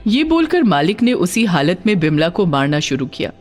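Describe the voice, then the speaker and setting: A woman reading a scripted story as narrator, with a dramatic, expressive delivery. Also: lowish voice, normal speed, soft background music.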